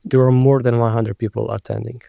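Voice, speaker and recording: A man's voice: mid-range voice, flat delivery, a little fast, creaky, no echo, dull audio. A man from Romania with a Romanian accent, a non-native English speaker, hosting a podcast interview in a conversational way and sometimes searching for words. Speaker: podcast host.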